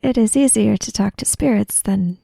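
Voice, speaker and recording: A woman playing a hesitant, polite young woman who speaks to spirits, in halting, soft, formal speech for a tabletop roleplay. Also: mid-range voice, vocal fry, slightly rough, dry recording. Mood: hesitant, earnest.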